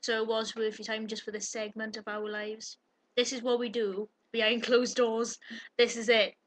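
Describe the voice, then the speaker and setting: A woman's voice: on the high side, little pitch movement, normal speed. A young Welsh woman with a Welsh accent, chatting casually on a webcam video in a blunt, teasing way. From Wales. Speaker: vlogger.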